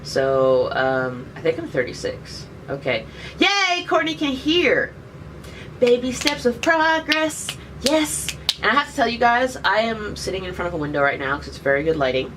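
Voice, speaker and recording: A woman's voice: fairly high pitch, sing-song, normal speed. A woman in her thirties chatting casually on a live stream and answering viewer questions with jokes. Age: 30s. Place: indoors by a window. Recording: distant webcam mic, faint background noise.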